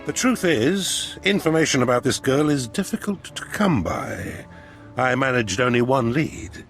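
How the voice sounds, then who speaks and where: A haughty game-villain duke with a lively, swooping delivery. His speech is formal and pompous, that of a slippery, scheming courtier. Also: mid-range voice, a little slow, soft background music. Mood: ingratiating. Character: haughty, scheming male noble Sith duke. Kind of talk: video game cutscene acting.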